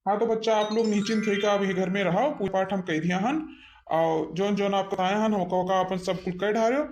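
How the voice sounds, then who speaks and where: A man's voice: sits high, a little fast, a little mellow, a little hiss or hum, natural voice. A man with an Awadhi accent playing a village holy man and exorcist in an amateur cartoon, instructing and reassuring. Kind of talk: amateur Hindi cartoon dialogue.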